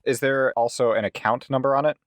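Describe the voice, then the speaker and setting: A man's voice: medium pitch, steady tone, fast talker. A man chatting casually as a player in a tabletop role-playing game.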